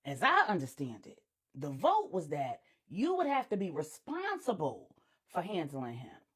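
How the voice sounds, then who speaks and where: A woman's voice: fairly low pitch, wildly swooping pitch, measured pace, a bit bright, husky, voice pushed down, exaggerated voice. A woman voicing a brazen, unruly and unbothered eccentric woman, sassy and colloquial, in an audio story. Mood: nonchalant defiance.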